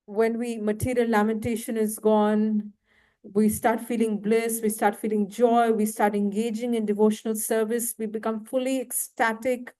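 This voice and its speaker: A woman giving a devotional lecture to a temple audience, slow and often asking questions. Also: on the high side, dark tone. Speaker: guest lecturer. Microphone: handheld mic, failing at times.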